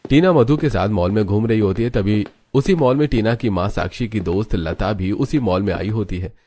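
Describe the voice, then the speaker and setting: A man with a low-pitched, very mellow voice reads a scripted story as its narrator. His delivery stays in the storyteller's role, with a dark, soft tone. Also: normal speed, no echo, soft background music.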